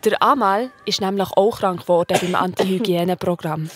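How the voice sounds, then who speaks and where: A woman's voice: medium pitch, fairly quick. A woman with a Swiss accent narrating a children's story in a colloquial style.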